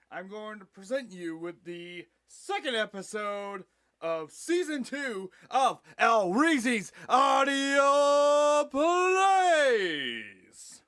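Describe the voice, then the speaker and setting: A man hosting an audio play podcast, reading his own writing theatrically with a halting, dramatic delivery. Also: high voice, sharp tone.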